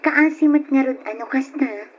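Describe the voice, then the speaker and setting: An actress playing a friendly young woman boss in a radio drama, gentle and reassuring. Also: sits high, normal speed, natural voice. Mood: sympathetic. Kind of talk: Ilocano radio drama acting. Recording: dull, noisy old radio drama recording.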